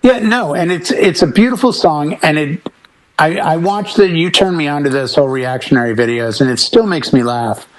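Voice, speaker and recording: An elderly man in casual panel chat, telling anecdotes in an animated way and acting out bits of conversation. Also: on the high side, normal speed. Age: 80s. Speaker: DJ and panel member.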